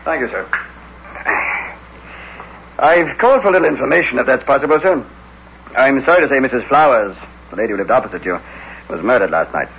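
An actor playing a courteous, methodical police inspector, formal and probing in an old-time radio drama. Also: male voice, mid-range voice, animated, brisk, creaky, long pauses, a bit bright. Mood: polite, official. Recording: dull, noisy vintage radio recording.

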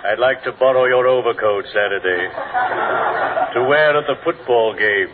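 A male actor playing a genteel, morbid undertaker in old-time radio comedy, speaking formally and slowly with a solemn deadpan delivery. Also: mid-range voice, crowd noise, close to an everyday voice. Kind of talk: 1940s-50s radio comedy acting. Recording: noisy, tinny old radio broadcast.

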